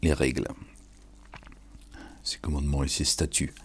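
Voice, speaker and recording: A man's voice: rumbling low, little pitch movement, a little slow, husky. A man giving an informal, sermon-like reflection on scripture, preaching and addressing his listeners familiarly with frequent check-in questions. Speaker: online religious and political commentator.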